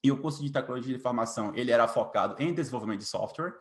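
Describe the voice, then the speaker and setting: A man's voice: mid-range voice, rapid-fire. A man in his late twenties with a Bahian Brazilian accent, telling his story informally as an interview guest, often adding little tag questions. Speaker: interview guest, software developer. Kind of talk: casual interview, personal story.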